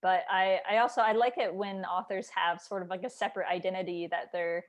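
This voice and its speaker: A woman with a mid-pitched voice talks in a casual, chatty way. She sounds like a writer hosting an informal book discussion, thinking aloud and peppering her sentences with "like". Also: steady tone, a little fast. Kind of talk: casual conversational book chat.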